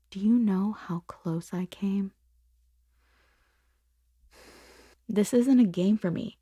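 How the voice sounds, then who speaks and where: A woman playing a conflicted boss confessing forbidden desire in an intimate, breathy, whispered ASMR roleplay monologue. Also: mid-range voice, dark tone, no echo. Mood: torn, anguished. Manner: slow, intimate, halting delivery.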